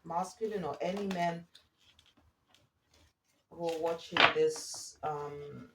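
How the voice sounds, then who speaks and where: A woman giving a spiritual card reading in a halting manner, often checking in with the listener as she reads and improvises. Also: low voice, heavy background noise. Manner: hesitant, halting, often checking in with okay and all right. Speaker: oracle card reader and spiritual guide. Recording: roomy echo, distant from the mic.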